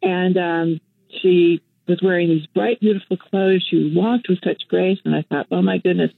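A woman's voice: lowish voice, steady tone, normal speed, gravelly, husky, no echo. An older woman from Western Canada, a guest activist and journalist, speaking on the phone in a reflective, storytelling way with many fillers and restarts. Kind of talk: casual interview on the phone. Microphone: telephone. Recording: tinny phone line.

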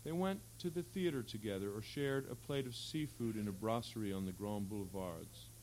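A man reading literary fiction aloud at a live event, with a measured, articulate storytelling delivery. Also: on the low side, a little mellow, poor audio. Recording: faint hum and background noise.

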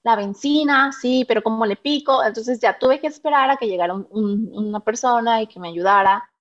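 Mexican woman, casual chat, frequent laughter, slightly high voice, muffled sound. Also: brisk. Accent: Mexican Spanish. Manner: laughs often while telling stories. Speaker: guest. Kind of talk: casual conversation. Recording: dull lo-fi sound.

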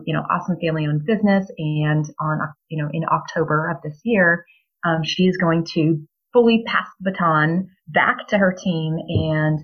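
Low-voiced woman, unhurried with ums. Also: slightly rough. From New Hampshire, US. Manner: measured, many ums, thoughtful pauses. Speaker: business coach guest. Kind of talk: interview conversation. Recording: dull lo-fi audio.

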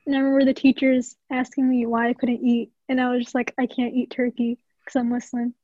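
A young woman student quickly telling a story in a class discussion. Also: a little high, monotone, warm tone, dry recording, lo-fi sound. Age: young adult.

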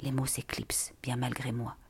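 A person reading scripted literary prose in a breathy, half-whispered voice with measured, deliberate phrasing. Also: mid-range voice, monotone.

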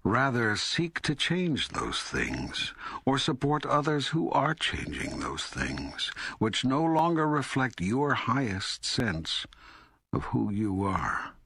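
A man voicing God as a calm, authoritative teacher in an audiobook, raspy, deliberate and solemn. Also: mid-range voice, no room sound, studio quality, exaggerated voice. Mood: firm, assured. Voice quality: husky, raspy. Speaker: audiobook narrator. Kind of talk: scripted audiobook dialogue reading.

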